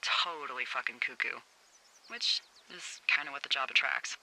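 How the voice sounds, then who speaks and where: An actress playing a wry, bossy, foul-mouthed fire lookout supervisor in a video game, teasing and casual over a handheld radio. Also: female voice, extremely low pitch, moderate pace, bright, forceful tone, a little hiss or hum, heavily acted, poor audio. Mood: wry, matter-of-fact. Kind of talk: naturalistic video game dialogue.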